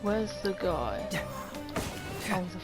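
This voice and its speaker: A woman streams a game and comments casually in a slightly low voice. She mutters as she thinks aloud. Loud game music plays behind her. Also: very animated, slow.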